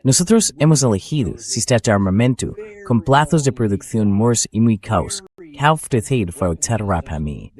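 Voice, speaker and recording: A man with a fairly low voice hosts a political talk show. He comments in an emphatic, sceptical manner and leans on pointed rhetorical questions. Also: lively intonation, moderate pace, darkish tone.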